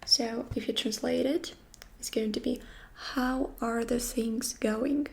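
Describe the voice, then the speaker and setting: A woman giving a whispered ASMR language lesson, softly repeating each phrase slowly and close to the microphone. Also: a little high, fairly even intonation, gravelly, slight background noise. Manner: soft whisper, slow repetitions. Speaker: language teacher.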